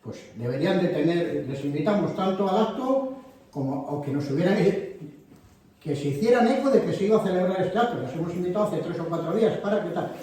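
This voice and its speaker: A man organizing a public meeting, addressing a live audience in a rambling, animated way with indignant asides. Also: sits high. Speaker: event organizer. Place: meeting hall. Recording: very echoey hall, distant mic.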